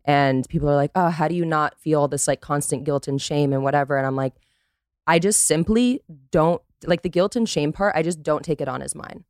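A woman from Costa Rica speaking candidly and casually as a podcast guest, emphatic and quick to swear. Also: deep voice, a little mellow, clean tone, dry recording, clean studio sound.

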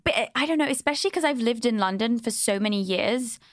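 A woman's voice: fairly high pitch, expressive, fast, no room sound. Brief: young woman from Switzerland, blunt, opinionated, salty language, casual podcast chat, dry close mic. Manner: blunt, swears freely, opinionated. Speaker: podcast guest, host.

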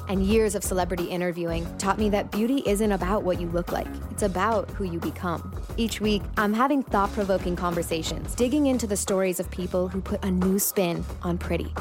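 A woman host reading a scripted podcast promo in a polished, earnest way. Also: mid-range voice. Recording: promo over clear music.

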